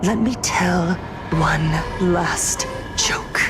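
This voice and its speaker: A woman voicing a sinister, mocking jester figure in a game, taunting and deliberate. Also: lowish voice, music in the background. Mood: mocking, cold. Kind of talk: dramatic game voice acting.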